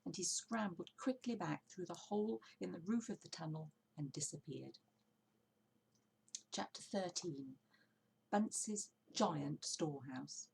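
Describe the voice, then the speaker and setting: Female storybook reader: expressive narration, raspy tone with noticeable vocal fry. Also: lowish voice, unhurried, poor audio. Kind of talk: expressive children's book narration.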